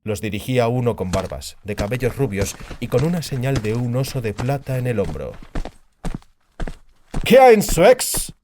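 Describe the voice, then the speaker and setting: A man's voice: medium pitch, expressive, a little fast, few pauses, noisy background. A man narrating a fantasy audiobook in a scripted, dramatic storytelling style.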